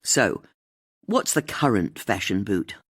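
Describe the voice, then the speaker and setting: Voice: sits low, very animated, slow pace, husky, no echo, voice pushed down, full character voice. A narrator voicing a blunt, dry, grumbling middle-aged woman in an audiobook mystery.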